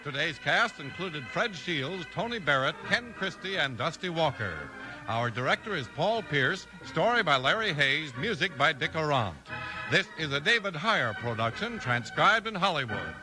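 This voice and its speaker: A man's voice: fairly high pitch, big pitch swings, moderate pace, music in the background, poor audio. A man with an American accent announcing on 1950s radio in a polished, emphatic sales delivery. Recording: vintage 1950s radio recording.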